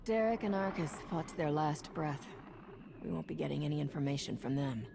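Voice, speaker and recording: An actress playing a confident, tough heroine in a video game, cool and self-assured with a dry delivery. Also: female voice, deep voice, lively intonation, brisk, creaky, background noise. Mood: grave. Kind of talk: cinematic video game acting.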